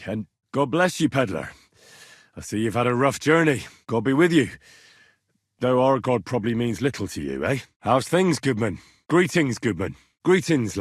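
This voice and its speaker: An earnest young man of common birth in a medieval video game. His voice sits at a medium pitch with a slightly rough, husky edge. He speaks in a plain, folksy, curious way, with lively swings in intonation. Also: no echo, studio quality. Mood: courteous. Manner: conversational, expressive, questioning, folksy turns of phrase. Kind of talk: video game dialogue acting.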